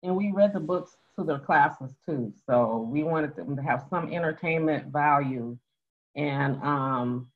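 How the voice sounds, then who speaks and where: A woman's voice: low-pitched, unhurried. Older woman on a muffled call. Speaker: co-host. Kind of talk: casual explanatory talk to an online group. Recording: dull, lo-fi online call audio.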